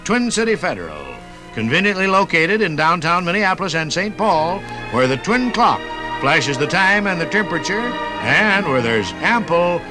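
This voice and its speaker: An American man narrates a scripted 1950s radio program. His voice sits a little high and swings with lively intonation. Music runs with the broadcast. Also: moderate pace. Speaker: radio host and sponsor announcer.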